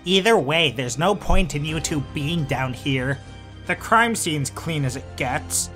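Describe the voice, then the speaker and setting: Guy doing cocky cop pony, highish bright forceful voice, dismissive n sarcastic, bit of music under. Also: expressive, measured pace. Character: brash, dismissive male police pony investigator. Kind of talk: audio drama acting.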